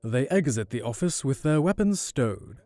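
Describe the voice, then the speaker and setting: A man serves as narrator for a fantasy audio drama. His voice is mid-pitched, soft-edged and dark in tone, reading scripted scene descriptions. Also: big pitch swings, normal speed, dry recording, clean studio sound.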